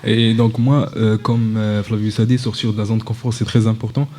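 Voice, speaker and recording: A young man, a student guest on live radio, speaking in a soft-spoken, hesitant way. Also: on the low side, flat delivery, moderate pace, slight background noise. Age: young adult.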